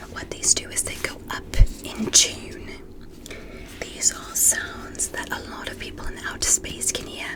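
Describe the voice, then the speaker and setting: Casting: woman as courteous alien medical examiner for ASMR roleplay, breathy whisper throughout, careful and reassuring. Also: lightly acted, rough recording. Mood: hushed, clinical, curious. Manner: slow, careful, softly reassuring. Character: polite, gentle alien doctor examining a human.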